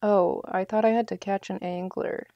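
A woman streams a game with relaxed, off-the-cuff commentary. Her voice is mellow, with plenty of vocal fry, and sits close and dry on the recording. She talks in a chatty, apologetic way. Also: medium pitch, moderate pace, no echo, muffled recording. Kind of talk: casual live gameplay commentary.

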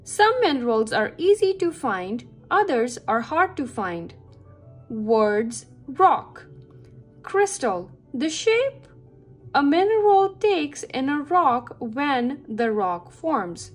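A woman's voice: high voice, big pitch swings, slow, soft background music. A woman reading a children's science book aloud with careful phrasing meant for young listeners. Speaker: read-aloud reader for children.

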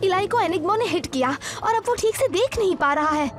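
A spirited teenage girl from a cartoon. Her voice is very high and eager, full of concern. Music plays clearly behind her. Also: lively intonation, brisk. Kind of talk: Hindi cartoon dub acting.

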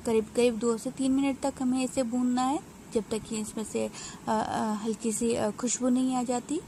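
Unhurried woman narrating a home recipe. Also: a little high, level tone, mellow, a little hiss or hum. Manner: unhurried, explanatory, frequent hesitations. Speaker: home cook. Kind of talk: step-by-step cooking voice-over.

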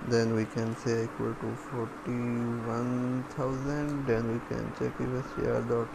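Guy doing a programming screencast, really slow stop-start delivery, noisy background. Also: mid-range voice. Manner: halting, pausing between short phrases. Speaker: programming tutorial instructor. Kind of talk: screencast coding tutorial, dictating code step by step. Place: voice-over for a screen recording. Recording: clear steady background noise.

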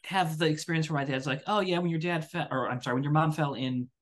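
A man's voice: fairly high pitch, fast. A man telling casual anecdotes as a podcast co-host, acting out the quoted dialogue. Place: indoor room on a video call.

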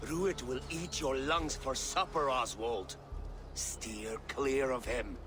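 An actor playing a gruff, wary Viking clansman in a video game, blunt in his speech. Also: medium pitch, slow, over music, lightly acted. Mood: warning. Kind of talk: video game cutscene acting.

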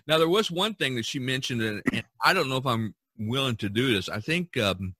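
Male podcast host, bright forward tone, dry close sound, loose chuckling chat. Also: medium pitch, animated, a little fast, breathy edge, no echo. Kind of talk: casual chat among artists.

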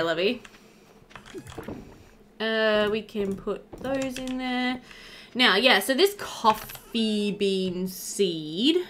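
A woman in her thirties giving casual, chatty let's-play commentary, animated and thinking aloud. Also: mid-range voice, a little hiss or hum. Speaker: gaming video host. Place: indoor room.

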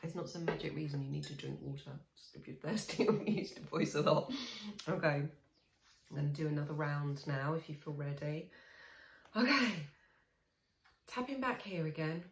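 A woman in her forties guiding a tapping session, speaking slow, soothing, repeated affirmations with sighs. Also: low-pitched, creaky, slight background noise. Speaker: EFT tapping coach. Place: small room indoors. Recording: echoey small room.

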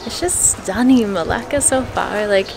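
A woman talking casually to the camera as a travel vlogger, chatty and quick to laugh, walking outdoors between sights. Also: medium pitch, vocal fry. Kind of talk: casual travel vlog narration. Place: outdoors on town streets and at sights. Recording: loud music bed over the voice. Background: loud background music.

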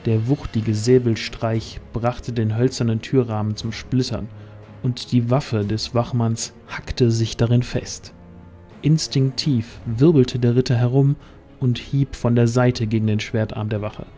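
A male narrator with a mellow, warm voice of medium pitch. He reads a dramatic fantasy story as scripted narration. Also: fairly even intonation, measured pace, faint music behind.

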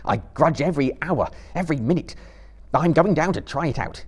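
A man's voice: on the high side, big pitch swings, normal speed, darkish tone, slightly rough, pitched above the performer's own voice. A man voicing an earnest, determined young male hobbit who urges his companion on in a lifted voice. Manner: urgent, raised and pleading.

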